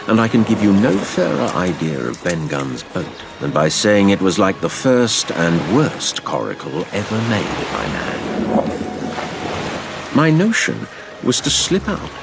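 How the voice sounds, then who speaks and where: A very low-voiced man narrates an adventure tale with a heavy creak. His theatrical, measured delivery moves widely in pitch, over clearly audible background noise. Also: very animated. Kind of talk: dramatised storybook narration.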